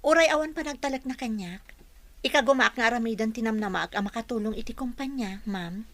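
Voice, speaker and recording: An actress playing an earnest, respectful young office employee in a Filipino radio drama, dutiful and determined. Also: female voice, a little high, brisk, close to an everyday voice.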